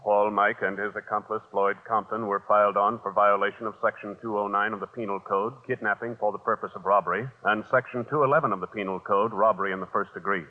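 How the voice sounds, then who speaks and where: A man's voice: fairly low pitch, fairly even intonation, narrow, tinny audio. Reverberant vintage American radio announcer, male. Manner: measured, official. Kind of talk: formal scripted 1950s radio announcing.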